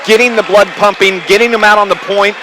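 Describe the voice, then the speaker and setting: A man's voice: high voice, steady tone, a little fast, crowd noise. A man doing live radio play-by-play of a basketball game, in clipped running phrases. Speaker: radio play-by-play sports announcer. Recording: noisy live gym broadcast. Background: gymnasium ambience, bouncing basketballs.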